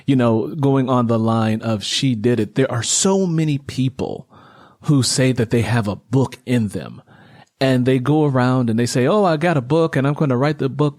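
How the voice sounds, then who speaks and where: A man's voice: medium pitch, a little mellow, no echo, studio quality. A man hosting a casual interview, affirming his guest and repeating points for emphasis. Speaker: interview host.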